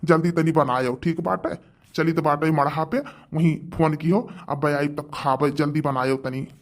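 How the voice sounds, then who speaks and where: A man's voice: fairly high pitch, fairly even intonation, moderate pace, natural voice. A man voicing a bossy old village husband in a homemade cartoon, with an Awadhi accent and an insistent, ordering manner. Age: older. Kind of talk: homemade cartoon dialogue in rural dialect.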